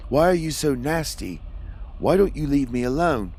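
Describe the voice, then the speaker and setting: A man's voice: mid-range voice, sing-song, slow pace, a little hiss or hum, voice pushed up. A man voicing an English female housekeeper in a ghost story, with a put-on English accent. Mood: exasperated, earnest.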